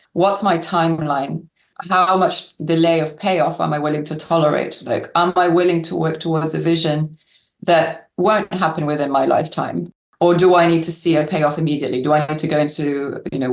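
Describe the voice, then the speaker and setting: A woman guest answers in a measured, reflective way, with a gravelly edge to her voice. She sounds as if she is in a room with a lot of echo. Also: low voice. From Algeria and Yorkshire. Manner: thoughtful, laughs lightly. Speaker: guest, public health doctor. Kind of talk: interview answers. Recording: echoey room.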